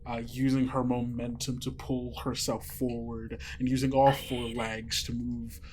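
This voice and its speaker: A man speaks as a podcast game master in an informal, descriptive, sometimes halting way. His pitch is medium and his pace is slow, with faint background noise. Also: flat delivery, darkish tone. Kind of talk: narrating and hosting an actual-play tabletop podcast.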